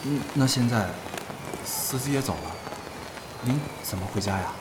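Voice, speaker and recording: A man's voice: medium pitch, expressive, moderate pace, noisy background, natural voice. A man playing a polite, mild young performer in an audio drama, speaking with deference. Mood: gently teasing. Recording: echoey, very loud music behind.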